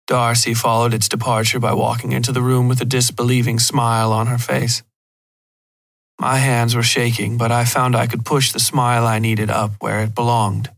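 A man's voice: medium pitch, a bit bright, no echo, poor audio. A man reading scripted horror fiction as the narrator of an audio story. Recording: prominent music under the voice.

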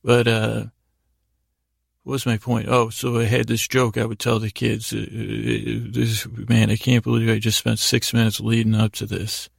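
Male podcast host, very creaky and flat in pitch, casual rambling bedtime storyteller, self-deprecating. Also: moderate pace, no room sound, clean studio sound. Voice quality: gravelly, creaky. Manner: meandering, self-deprecating digressions.

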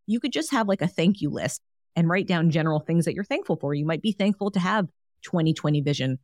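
A woman's voice: lowish voice, expressive, fast, darkish tone, dry recording, studio quality. A woman hosting a solo wellness podcast, talking in a chatty, encouraging way with frequent fillers. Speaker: podcast host.

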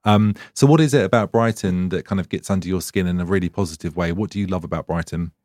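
A man's voice: deep voice, quick pace, a little mellow, no room sound, clean studio sound. A man hosting a podcast, talking in a chatty, rambling way and laughing often. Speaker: podcast host. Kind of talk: casual interview chat.

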